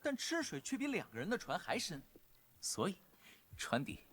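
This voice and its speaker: An actor voicing a cheeky, clever young male cultivator in an animated fantasy drama, playful and teasing. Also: high voice, moderate pace, exaggerated voice. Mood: cheerful.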